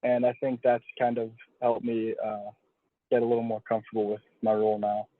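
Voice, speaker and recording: A man's voice: lowish voice, little pitch movement, brisk, no room sound. A young man, a college athlete being interviewed, speaking casually over a phone call and often restating his phrases as he goes. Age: young adult. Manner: hedging, self-correcting, restating phrases. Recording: dull, lo-fi phone call audio.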